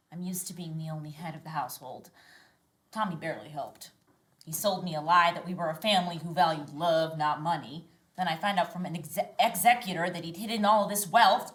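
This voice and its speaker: A woman's voice: fairly low pitch, fairly bright tone, natural voice. An American woman playing a proud, indignant, middle-aged single mother in a live sitcom script reading, earnest and frank.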